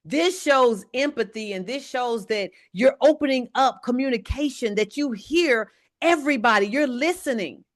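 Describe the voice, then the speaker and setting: Loud evangelist woman teaching. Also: high-pitched, animated, normal speed. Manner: says she has a very loud voice; talks to the audience directly, often asking "right?". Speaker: evangelist teaching a leadership class. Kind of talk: church leadership lesson.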